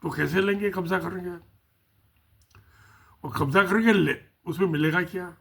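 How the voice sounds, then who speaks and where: A man's voice: high voice, moderate pace, gravelly, a bit bright. A man giving casual spoken political commentary, musing and repeating himself, asking rhetorical questions.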